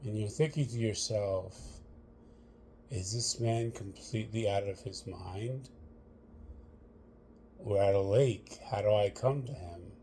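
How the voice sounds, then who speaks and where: A man leading a guided meditation, speaking to the listener in measured short phrases with long pauses between them. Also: on the low side, warm tone, a little hiss or hum.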